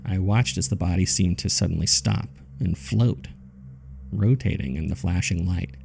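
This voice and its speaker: An actor playing a haunted man who recounts a terrifying ordeal in grave, deliberate, gravelly first-person narration for a horror audio drama. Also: sits low, a little mellow, soft background music. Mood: shaken, haunted.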